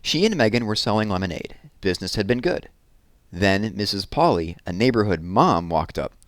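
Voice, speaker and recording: A man reading a children's book aloud in an expressive storytelling style, leaning on key words for drama. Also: lowish voice, normal speed, dry recording.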